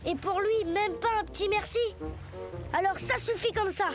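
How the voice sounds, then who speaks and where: A woman's voice: very high voice, moderate pace. A woman voicing a nine-year-old boy hero in a children's cartoon, earnest, polite and pleading, with music behind. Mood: fed up.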